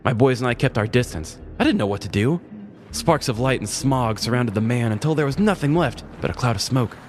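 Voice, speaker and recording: A man's voice: mid-range voice, expressive, a little fast, soft background music. A man, a podcast host, narrating a scripted comic story in a wry, dramatic storytelling manner.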